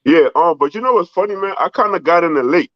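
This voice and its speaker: A man from the Bronx with a New York accent, whose first language is Spanish, talking in a relaxed, rambling way full of fillers as a guest. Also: on the high side, quick pace, no room sound, dull audio. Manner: relaxed, rambling, heavy fillers like "you know" and "um". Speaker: documentary filmmaker guest. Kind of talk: casual interview.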